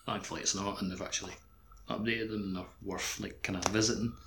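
Low creaky guy voice, pretty bright, casual game chat. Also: steady tone, fast, background noise. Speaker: podcast co-host. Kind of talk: casual video game discussion.

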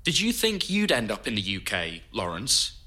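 An actor playing a curious, sympathetic oral-history interviewer, asking questions in a conversational way. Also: male voice, lowish voice, huge pitch swings, normal speed, bright, forceful tone, exaggerated voice.

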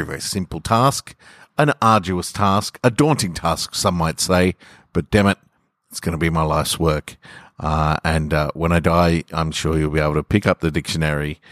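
A man with a deep voice and an Australian accent hosts a storytelling podcast. He speaks close to the microphone, with hardly any room sound around him. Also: no room sound. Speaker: podcast host and author reading own stories. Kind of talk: casual intro and measured literary story reading. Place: garage.

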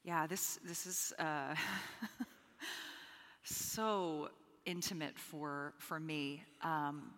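Emphatic woman with a bright voice. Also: low-pitched, steady tone, drawn-out words. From the Bahamas. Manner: emphatic, earnest, repeats words. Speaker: podcast guest. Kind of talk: live podcast conversation. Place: conference stage with hall. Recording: live hall reverb.

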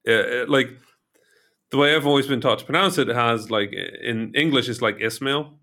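A man hosting a podcast, talking in a playful, halting way with many restarts. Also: mid-range voice, pushed and bright. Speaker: podcast host. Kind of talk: casual chat.

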